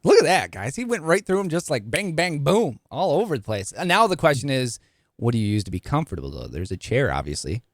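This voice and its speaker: Lively, chatty man hosting from home. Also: a little fast, dry recording, clean studio sound. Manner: friendly, chatty, lively pitch swings. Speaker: podcast host. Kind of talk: casual interview chat over video call. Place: upstairs home studio room. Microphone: studio dynamic mic.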